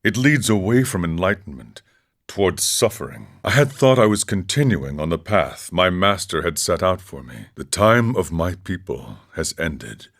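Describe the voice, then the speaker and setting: Deep-voiced, solemn mystic monk. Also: male voice, big pitch swings, a bit bright, full character voice. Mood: solemn, wistful. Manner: solemn, measured, philosophical delivery. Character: older mystical wandering monk, serene, wry, ascetic. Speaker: voice actor in a video game. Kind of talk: scripted game character dialogue.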